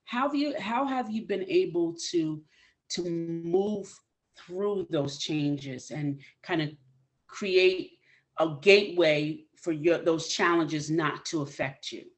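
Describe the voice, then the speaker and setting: A woman's voice: fairly low pitch, takes it slowly. Emphatic woman podcast host. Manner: enthusiastic, emphatic urging of listeners. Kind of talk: interview podcast.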